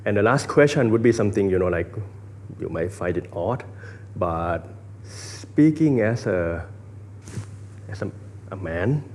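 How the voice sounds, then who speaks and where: A man's voice: deep voice, expressive, unhurried, long pauses, darkish tone, slight background noise. A Thai man with a Thai accent, hosting a casual interview in English as a second language, hesitant with many fillers. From Thailand. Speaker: interview host.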